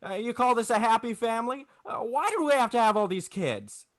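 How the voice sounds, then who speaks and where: Man acting a frantic, stammering family man, high-pitched. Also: lively intonation, a bit bright, dry recording, clean studio sound. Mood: irritable, frantic. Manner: agitated, halting, stammering. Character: frantic, irritable small-town family man in despair. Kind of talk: 1940s radio drama acting. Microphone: close, dry video-call microphone.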